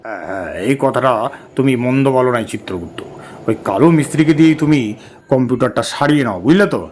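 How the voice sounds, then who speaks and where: A man's voice: medium pitch, fast, darkish tone, slight background noise, lightly acted. A man voicing a weary, lordly god of death who grumbles colloquially in a comic cartoon. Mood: bossy.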